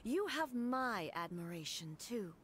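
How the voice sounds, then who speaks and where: Solemn, regal warrior goddess, noisy capture. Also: female voice, fairly high pitch, very animated, fairly bright tone. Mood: grave admiration. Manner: grave, formal, measured. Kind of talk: video game voice acting. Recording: game audio captured on a noisy livestream.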